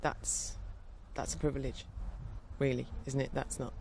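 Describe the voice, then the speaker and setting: A rambling, chatty woman talking outdoors in her garden, her voice carrying a gravelly fry. She sounds a little blocked up in the nose and drifts into quieter stretches as she wanders through her thoughts. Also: rumbling low, flat delivery, unhurried, a little hiss or hum. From England. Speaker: podcast host. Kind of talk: casual chat.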